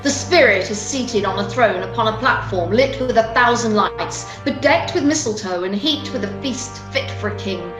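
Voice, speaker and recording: A woman's voice: mid-range voice, animated, normal speed, hardly any pauses, over music. A woman narrating a story as part of an amateur radio play. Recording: roomy home video call.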